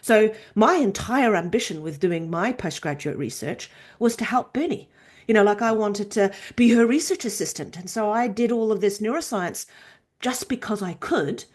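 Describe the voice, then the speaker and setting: A woman in her fifties from Norway, a chiropractor and researcher telling her story in a casual, animated interview. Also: medium pitch, normal speed. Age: 50s. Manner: animated storytelling, acts out quoted voices.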